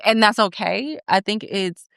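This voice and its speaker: A woman's voice: mid-range voice, unhurried, creaky, a bit bright, clean tone, no echo, studio quality. A young woman co-hosting a faith podcast, explaining her views earnestly and asking her co-host questions. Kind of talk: faith conversation.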